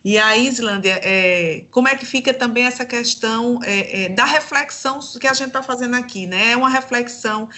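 A Brazilian woman hosting a conversational radio interview, halting as she circles her way toward a question. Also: on the high side, pushed and bright. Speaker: radio programme host.

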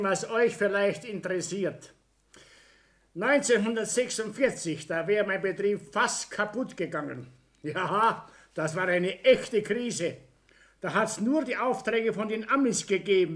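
High-voiced, blustering Bavarian businessman. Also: animated, measured pace, a bit bright. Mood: indignant, self-righteous. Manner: self-righteous, blustering. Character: proud, aggrieved Bavarian small business owner. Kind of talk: 1960s German radio drama acting.

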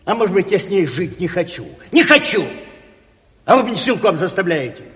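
Slimy puffed-up little commissar, whiny high voice, radio drama, hall reverb. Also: male voice, big pitch swings, fairly quick, a bit bright. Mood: whiny, indignant. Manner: whiny, indignant, pompous sloganeering. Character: weaselly, swaggering bathhouse commissar, self-righteous deserting husband. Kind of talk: comic stage-style radio drama acting.

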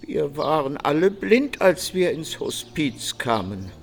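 Slow, plaintive blind man. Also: fairly high pitch, very animated, gravelly, exaggerated voice. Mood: resigned, uneasy. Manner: measured, plaintive, repetitive. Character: resigned, anxious blind man waiting for his guide. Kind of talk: German radio play acting.

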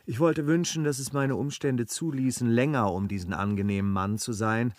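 An actor in a period radio play playing a courteous, upright gentleman traveller who speaks politely and formally. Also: mid-range voice, normal speed, no room sound, clean studio sound, close to an everyday voice. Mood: earnest narrating. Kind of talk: German radio play, classical period dialogue.

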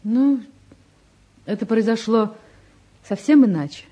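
An actress playing a spirited, frank young woman, a devoted sweetheart, in an expressive 1950s Soviet radio play style. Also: fairly high pitch, slow pace. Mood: reflective.

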